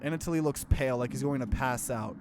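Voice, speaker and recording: A man's voice: medium pitch, fast talker, noisy background. A man hosting a let's play, reading game narration aloud and adding his own commentary.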